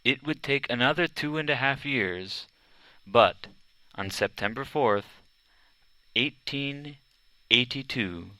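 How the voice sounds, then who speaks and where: A man narrating a children's biography from a script, in measured, deliberate storytelling phrases. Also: medium pitch, pushed and bright, smooth, dry recording, low-quality recording. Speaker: audiobook narrator.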